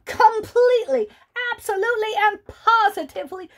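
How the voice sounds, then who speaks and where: Woman doing a squeaky pleading Easter Bunny. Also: moderate pace, fairly bright tone. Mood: indignant.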